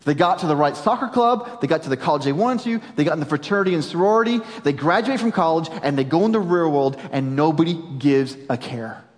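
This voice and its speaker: A man in his forties preaching in a large church auditorium, his high voice carrying with a long reverb tail. He tells stories with animated humor, then drops quietly to press a point home. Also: quick pace, few pauses. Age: 40s. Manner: animated, jokey storytelling with sudden drops to quiet emphasis. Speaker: pastor. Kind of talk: sermon.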